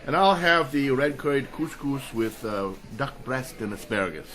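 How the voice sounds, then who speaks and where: An actor in a radio mystery playing a suave, rich amateur sleuth with a curious, dryly playful manner. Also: male voice, medium pitch, lively intonation, moderate pace, a bit bright, lightly acted. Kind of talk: radio mystery drama acting.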